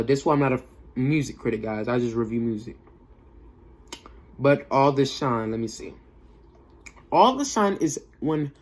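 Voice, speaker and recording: A man's voice: medium pitch, darkish tone. A young man giving a casual, off-the-cuff music review in a slangy, emphatic way, often repeating himself for effect. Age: young adult.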